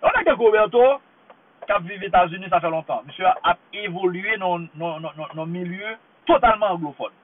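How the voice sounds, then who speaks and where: A man's voice: high-pitched, a little fast, background noise. A man from Haiti speaking with a Haitian accent in an impassioned monologue, repeating himself for emphasis. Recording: tinny phone-like line.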